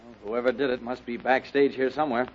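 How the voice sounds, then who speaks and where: Detective's sidekick male actor: medium-pitched, husky, casual and wry, radio play on a dull lo-fi recording. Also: level tone, quick pace, lightly acted. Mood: obliging. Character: easygoing, obliging sidekick of a lady detective. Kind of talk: radio detective drama acting.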